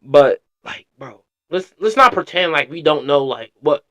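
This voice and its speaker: A man's voice: a little high, expressive, unhurried, pushed and bright, dull audio. A man streaming casual reaction commentary, slangy and blunt, swearing freely.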